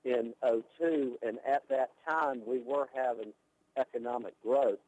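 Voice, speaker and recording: A man's voice: mid-range voice, steady tone, unhurried, darkish tone, a little hiss or hum, rough recording. A man who sounds like a politician, giving an interview answer in a news clip.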